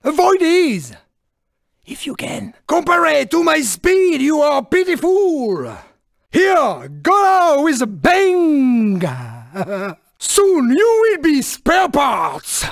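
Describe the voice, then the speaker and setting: Excitable French cartoon car, swooping high. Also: male voice, extremely slow, gravelly, no echo, studio quality, full character voice. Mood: smug, boastful. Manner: theatrical, excitable, haughty, exclamatory. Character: small, irritable, boastful, sneaky French male cartoon car. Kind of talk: video game voice lines.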